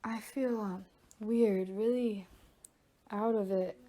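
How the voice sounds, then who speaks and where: A woman's voice: medium pitch, fairly even intonation, takes it slowly, mellow, close to an everyday voice. A woman acting a mild, frail, unwell young sister in a radio play, faint and hesitant. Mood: woozy.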